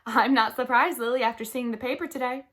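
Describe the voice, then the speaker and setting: A woman's voice: a little high, steady tone, a little fast, fairly bright tone. A woman voicing a kindly, reassuring grandmother in a children's storybook.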